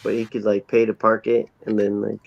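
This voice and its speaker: A man's voice: fairly low pitch, monotone, a little fast, vocal fry, dry recording. A man in a casual panel chat, loose-talking and swearing freely. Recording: dull lo-fi sound.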